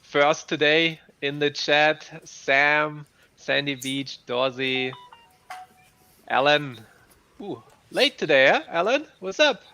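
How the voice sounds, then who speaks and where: A man's voice: fairly high pitch, lively intonation, takes it slowly, bright, forceful tone, dull audio, faint music behind. A man hosting a casual online morning show, cheerfully greeting viewers by name. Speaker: host.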